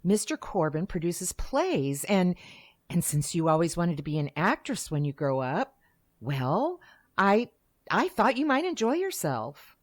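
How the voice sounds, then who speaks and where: Casting: woman for long-suffering radio-soap mother; unhurried, lower voice, patient and measured. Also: sing-song. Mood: weary persuasion. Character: weary, patient working mother of a defiant daughter. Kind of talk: old-time radio melodrama acting.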